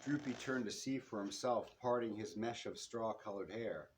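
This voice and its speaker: A man reading his own detective novel to listeners, with expressive narration and the odd stumble. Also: fairly low pitch, normal speed. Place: public reading in a bookstore. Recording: noisy live room recording.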